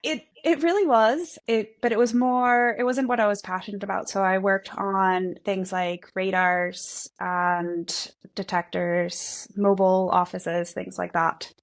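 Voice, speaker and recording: A woman's voice: mid-range voice, fairly even intonation. Easygoing woman engineer, Spanish native. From Guatemala and El Salvador. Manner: easygoing, quick, self-deprecating. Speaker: guest engineer. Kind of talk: conversational podcast interview.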